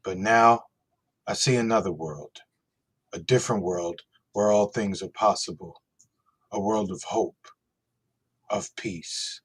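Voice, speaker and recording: A man playing a calm, resolute hacker hero in a radio play reading, speaking in a measured, earnest way with a husky voice. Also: on the low side. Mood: earnest conviction. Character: calm, resolute freed hacker hero giving a defiant message. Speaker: podcast host.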